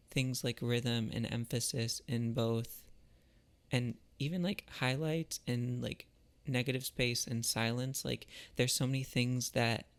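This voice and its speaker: A man's voice: medium pitch, creaky. A man talking casually and reflectively about creative work, thoughtful and informal, searching for his words as he goes. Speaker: musician and artist, video creator.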